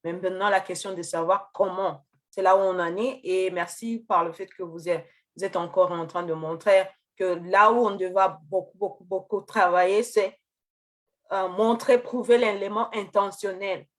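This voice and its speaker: A woman moderating an online meeting over a video call, speaking with many pauses and fillers as she thanks speakers and passes the floor. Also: mid-range voice, steady tone.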